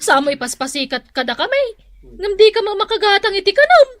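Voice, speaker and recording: A woman's voice: very high voice, sing-song, a little fast. A woman acting a no-nonsense female co-worker with an Ilocano accent in a radio drama, lecturing in exasperation. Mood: exasperated, scolding. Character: scolding, no-nonsense female co-worker who lends money.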